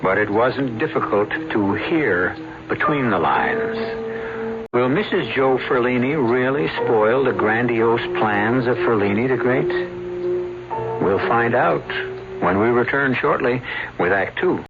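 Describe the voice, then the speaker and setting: A man hosting and narrating an old radio mystery show in a measured, deliberate, suspenseful way. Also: medium pitch, lively intonation. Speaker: radio drama host and narrator. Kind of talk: scripted narration. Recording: dull old radio broadcast over music.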